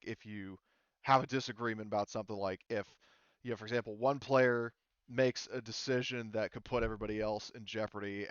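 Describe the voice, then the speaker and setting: Low-pitched, smooth-voiced man, halting game master. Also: no echo, lo-fi sound. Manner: halting, informal explaining. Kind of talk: casual group discussion of tabletop game rules.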